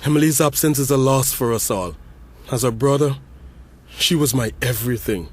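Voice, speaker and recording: A man's voice: medium pitch, moderate pace, a little mellow, husky, lightly acted. A man playing an intense grieving brother. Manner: intense, probing, accusatory. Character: grieving, accusing young brother at a funeral. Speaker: brother giving a eulogy. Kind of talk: audio drama monologue.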